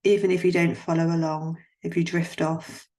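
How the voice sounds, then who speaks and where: A woman guiding a yoga nidra relaxation in a soothing, gently instructing manner, leaving long pauses between phrases. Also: on the low side, flat delivery. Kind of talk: guided relaxation meditation.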